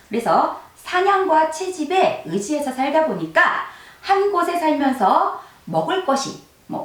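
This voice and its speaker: A middle-aged woman teacher speaks in a high voice with swooping, animated intonation. She explains conversationally, putting questions to her listeners. The sound is roomy and echoing. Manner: conversational, asks rhetorical questions. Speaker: history teacher. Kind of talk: explanatory lesson for mothers. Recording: echoey room, distant microphone.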